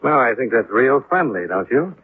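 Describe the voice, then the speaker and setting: A man's voice: mid-range voice, very animated, normal speed, breathy edge. A man playing a wry, hard-boiled American insurance investigator in a 1950s radio detective drama, with clipped, dry delivery. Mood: dry, probing. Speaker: lead actor and narrator. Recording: muffled, lightly noisy 1950s radio recording.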